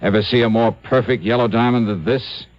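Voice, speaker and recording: An actor playing a wry, tough male insurance investigator in a 1950s radio drama, dry narration and brisk talk. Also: medium pitch, steady tone, close to an everyday voice. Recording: dull old radio broadcast with faint music.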